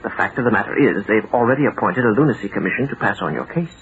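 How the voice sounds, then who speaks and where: An actor playing an impatient, skeptical defense lawyer in an old radio drama, reasoning incredulously, on a dull, noisy recording. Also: male voice, lowish voice, lively intonation, racing, a bit bright. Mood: impatient, stern.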